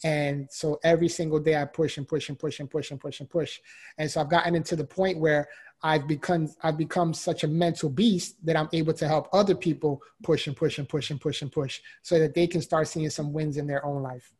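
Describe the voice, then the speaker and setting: Man, forties, motivational speaker, energetic, emphatic, slightly high voice. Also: a little fast, breathy edge. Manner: energetic, emphatic, repeats words for drive. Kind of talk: interview answer, personal story.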